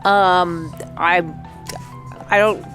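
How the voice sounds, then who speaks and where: A woman's voice: lowish voice, fairly even intonation, extremely slow, a bit bright, soft background music. A woman hosting a let's play with casual, playful commentary, chatting and reacting aloud.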